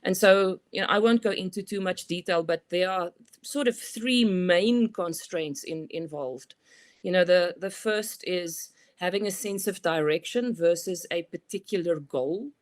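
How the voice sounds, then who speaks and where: Woman, South African accent, middle-aged, fairly low voice, thoughtful explanatory manner, conversational interview. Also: a little slow. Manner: thoughtful, explanatory, frequent "you know" fillers. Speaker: guest expert.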